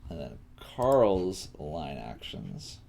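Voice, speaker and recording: A man's voice: very deep voice, big pitch swings, very slow, creaky, darkish tone. A man teaching coding on a live stream, casually thinking aloud and often questioning himself as he works. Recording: faint typing and room noise. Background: computer keyboard typing and clicking.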